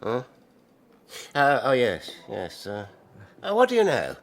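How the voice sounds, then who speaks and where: A man's voice: medium pitch, huge pitch swings, takes it slowly, creaky, full character voice. A man playing a pompous, scornful professor in an audiobook drama, emphatic and exasperated. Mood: surprised, testy. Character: blustering, scornful older male science professor.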